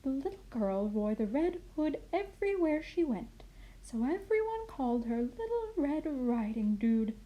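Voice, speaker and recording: A woman playing a kindly, muddled grandmother in an expressive children's storybook reading. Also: high voice, measured pace, lo-fi sound, voice pushed up. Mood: earnest storytelling. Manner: expressive storybook reading with puzzled self-corrections. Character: kindly, muddled grandmother misreading fairy tale aloud.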